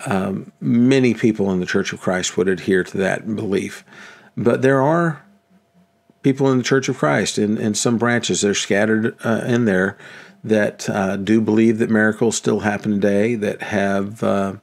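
A man's voice: lowish voice, steady tone, measured pace, gravelly. A man in his fifties hosting a religious video channel, talking unscripted to the camera from a small room at home, hesitant and full of ums and restarts.

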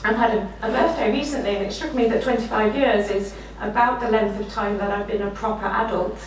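A woman's voice: mid-range voice, fairly even intonation, a little fast, far from the mic, a little hiss or hum. Middle-aged woman lecturing in an echoey room. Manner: warm anecdotal, self-deprecating, often laughing while speaking. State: slightly croaky voice. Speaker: keynote speaker. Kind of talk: conference keynote lecture. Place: lecture room, standing behind a desk. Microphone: room mic, far from speaker.

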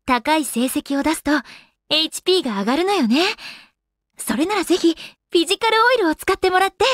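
Young game goddess, refined lady, high-pitched, very lively intonation, polite, haughty, playfully teasing. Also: no echo, clean studio sound. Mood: teasing, pleased. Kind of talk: Japanese video game character voice acting.

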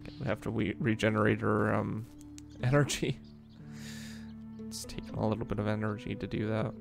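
A man hosting a casual let's play, talking in a relaxed, rambling way with lots of fillers while losing his voice. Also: on the low side, normal speed, creaky, over music. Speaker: gaming channel host.

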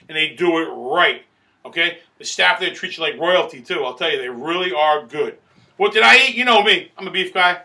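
Boisterous New food-critic man. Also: sits high. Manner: loud, boisterous, salesman-like, repeats himself. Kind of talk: restaurant review to camera. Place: small indoor studio in front of a banner backdrop.

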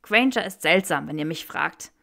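A woman voicing a chatty, excitable young male rebel in a German audiobook reading of fan fiction. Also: sits low, moderate pace, vocal fry, exaggerated voice. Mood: eager gossiping. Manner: excitable, blurting.

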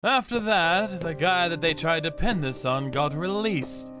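Man playing a menacing, animated vigilante torturer over music. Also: on the high side, unhurried. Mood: grim narrating. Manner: theatrical, menacing. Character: cold, vengeful male torturer in a suit, telling his story. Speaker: narrator and lead voice actor. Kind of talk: dramatic manga dub narration.

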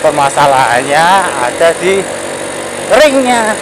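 A man's voice: fairly high pitch, sing-song. A man riding a motorcycle, chatting casually in a loose, rambling way. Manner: rambling, repeats phrases, pauses to recall words. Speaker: motovlogger. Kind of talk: casual narration while riding. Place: outdoors on a motorcycle on a road. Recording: engine and road noise.

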